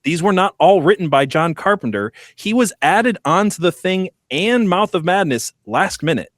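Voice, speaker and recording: A man's voice: sits high, expressive, normal speed, dry recording, studio quality. A man hosting a podcast, talking about a film in an easy, enthusiastic way and laughing often. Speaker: podcast host. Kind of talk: casual movie discussion.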